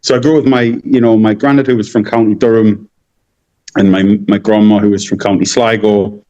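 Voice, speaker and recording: A man's voice: lowish voice, flat delivery, brisk, warm tone. North-East English man, slightly dull recording. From North-East England. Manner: rambling, often repeats words. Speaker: interview guest. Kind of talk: casual conversational storytelling.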